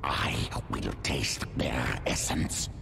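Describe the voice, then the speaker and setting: A rumbling monster beast. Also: male voice, very slow, vocal fry, heavy background noise, exaggerated voice. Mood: menacing. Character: ancient monstrous male alien beast, hungry and slow. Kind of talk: video game cutscene voice acting.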